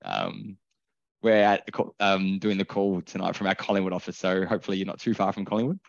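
Deep-voiced man hosting a webinar. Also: a little fast, gravelly, no room sound, lo-fi sound. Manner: relaxed, many filler ums. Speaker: webinar host for a recruitment firm. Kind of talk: casual webinar presenting. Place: office, over a video call.

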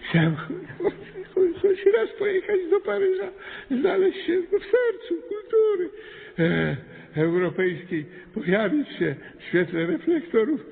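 An actor playing a flustered small-town mayor in a radio play, stammering, whining and indignantly justifying himself. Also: male voice, very high-pitched, sing-song, a little slow, vocal fry, phone-quality sound, exaggerated voice. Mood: tearful pleading. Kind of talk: radio drama acting. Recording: thin band-limited radio sound with long reverb.

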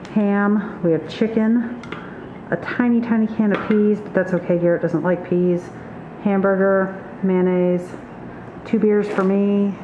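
A middle-aged woman with a lowish voice talks casually through a haul for her vlog. She is plain-spoken and itemizes as she goes. The sound is roomy and echoey, with clear background noise. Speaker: vlogger. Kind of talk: casual grocery haul narration. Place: hard-surfaced kitchen indoors. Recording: echoey, muffled, noisy room recording.

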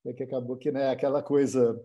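A man moderating an online panel from home on a video call, with a relaxed, conversational way of talking. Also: mid-range voice, brisk, dull audio. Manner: relaxed, conversational, with filler tags. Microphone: computer mic on a video call.

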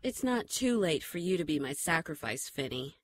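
A woman's voice: sits low, very animated, normal speed. A woman playing a powerful, imperious archangel in a radio drama, solemn and cryptic in manner. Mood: commanding, menacing. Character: powerful, imperious female archangel speaking in warnings. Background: music.

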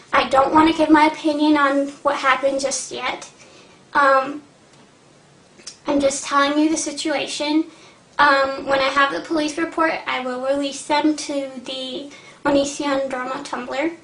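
Young woman vlogger, high voice, halting and earnest, echoey room. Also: a bit bright. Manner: hesitant, many ums, earnest. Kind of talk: casual talking-to-camera update.

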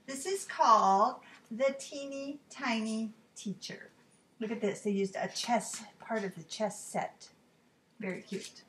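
A middle-aged woman with a fairly high voice reads to children as a teacher would. Her delivery is expressive and deliberate, with clear emphasis on repeated phrases. She is recorded at home in a living room. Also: slight background noise. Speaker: teacher reading a storytime book. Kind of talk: read-aloud children's storytime.

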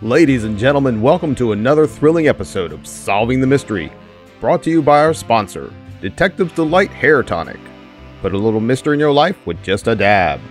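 Guy doing gushing 1940s radio announcer, dramatic sales pitch, music behind. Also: very animated, fairly quick, natural voice. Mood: grand, promotional. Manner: dramatic, promotional. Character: gushing old-time radio announcer introducing a mystery show.

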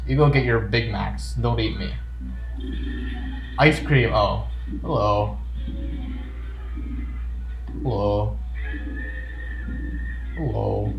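Male gaming host, mid-pitched, playful and off-the-cuff, roomy sound with music underneath. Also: a bit bright. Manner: playful, jokey, talking to game characters. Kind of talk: casual gameplay commentary. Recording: roomy echo with game music behind. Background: video game music.

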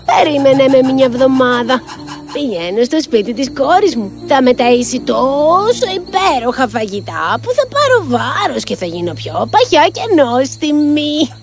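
Lady doing a gentle crafty old granny from a kids cartoon, really lively and coaxing, music behind. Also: high-pitched. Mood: coaxing, flattering. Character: brave, gentle, cunning old village woman. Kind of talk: children's fairy-tale cartoon dub.

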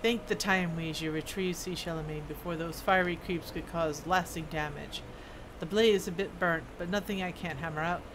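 A woman's voice: fairly low pitch, steady tone, normal speed, noisy background, voice pushed down, natural voice. A woman voicing a proud, folksy old male dwarf blacksmith from a fantasy game. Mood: hearty. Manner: folksy, proud, reading scripted dialogue.

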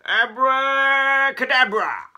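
A woman voicing a shy, silly, flustered male cat with a squeaky, stammering manner in a storybook read-aloud. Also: lively intonation, very slow, sharp tone, heavily acted. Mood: straining, hopeful.

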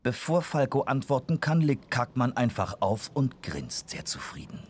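A man's voice: medium pitch, expressive, moderate pace, a little mellow, a little hiss or hum. A man narrating a children's radio play from a script.

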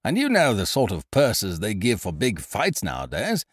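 A man voicing a loud, hearty, scheming young gentleman adventurer who blusters expansively. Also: fairly low pitch, wildly swooping pitch, moderate pace, no room sound, clean studio sound.

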